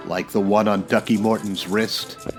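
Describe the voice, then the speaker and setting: An actor playing a tough, wisecracking private detective in a terse 1940s radio noir style. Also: male voice, on the low side, flat delivery, a little slow, music in the background, close to an everyday voice. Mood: dry, sardonic. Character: hard-boiled, wisecracking male private detective from old-time radio.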